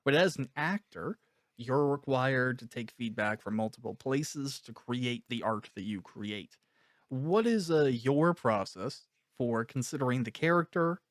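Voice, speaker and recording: A man hosting an interview, putting his thoughts and questions in measured, somewhat formal phrasing. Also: mid-range voice, dry recording.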